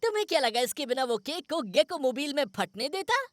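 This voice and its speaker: Excitable high-pitched heroic cartoon boy. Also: fast talker, noisy background. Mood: excited, chatty. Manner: eager, exclamatory. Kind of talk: animated children's cartoon dub.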